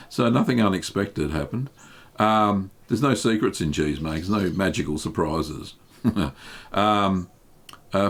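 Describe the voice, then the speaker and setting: Low-voiced host, easygoing live-stream Q&A, lots of ums. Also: male voice. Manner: relaxed, chatty, many ums and uhs, light laughs. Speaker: cheesemaking channel host. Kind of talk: live-stream Q&A answering chat questions.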